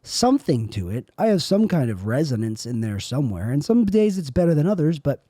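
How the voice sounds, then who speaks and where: A young man with a raspy, airy voice, rambling through a solo podcast monologue as host. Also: on the high side, very animated, fairly quick, mellow, no room sound. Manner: rambling, self-questioning, trailing off.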